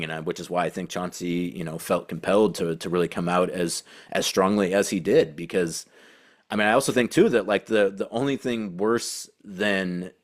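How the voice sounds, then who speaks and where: Low-voiced man talking basketball. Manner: halting, repeats and restarts phrases. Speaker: basketball podcast host and team reporter. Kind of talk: casual sports commentary.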